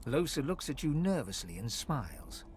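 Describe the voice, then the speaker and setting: An actor playing a solemn, ominous narrator in a fantasy video game, telling the story dramatically. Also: male voice, medium pitch, very animated, moderate pace, soft background music, natural voice.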